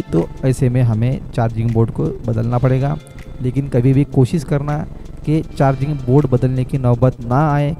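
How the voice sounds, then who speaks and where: A man talks viewers through a phone repair at his workbench, step by step, often calling them "friends". His voice is very dark and mellow, at an ordinary pitch for a man. Faint music plays behind him. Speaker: mobile repair technician.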